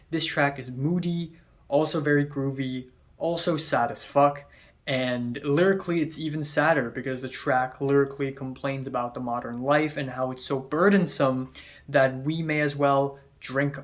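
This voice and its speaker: Chatty young man reviewing an album. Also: medium pitch. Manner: chatty, lots of fillers, casual swearing. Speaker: album reviewer, channel host. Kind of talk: casual spoken album review.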